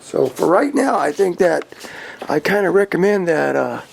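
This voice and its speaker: An American man with a gravelly voice, talking casually and informally as a hobbyist vlogger. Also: high voice, big pitch swings, normal speed, a little hiss or hum. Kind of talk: casual hands-on gear talk.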